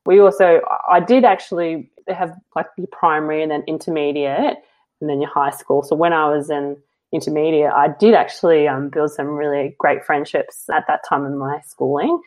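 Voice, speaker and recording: A woman's voice: deep voice, steady tone, normal speed. A woman, talking openly and reflectively as a guest, with many fillers. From New Zealand. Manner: open, rambling, self-reflective with frequent fillers and soft laughs. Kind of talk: casual conversational interview.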